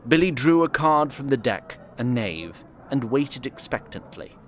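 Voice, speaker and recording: A man's voice: mid-range voice, big pitch swings, measured pace, a little hiss or hum. A man narrating a scripted fantasy story in a literary, wry manner.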